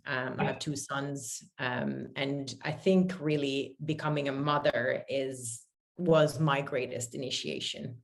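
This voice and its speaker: A woman in her forties with a very deep voice speaks as an interview guest. She is from Finland and grew up in Paris. Her storytelling is candid, reflective and conversational. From born in Finland, grew up in Paris, France. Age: 40s. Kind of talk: casual, reflective personal storytelling.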